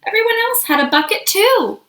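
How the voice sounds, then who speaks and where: Casting: female children's storybook reader, expressive and unhurried, very lively intonation, recorded in an echoey room. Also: extremely high pitch. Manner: expressive, acts out dialogue and asks young listeners questions. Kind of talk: children's picture book read-aloud.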